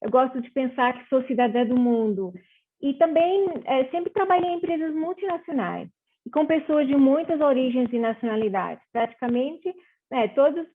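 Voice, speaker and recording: A woman from Portugal speaks with a Portuguese accent that carries Brazilian tones. Her voice sits a little high, and she talks in an earnest, measured way with small pauses. The sound comes over a thin, phone-like video call line. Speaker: panel moderator. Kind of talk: reflective panel talk.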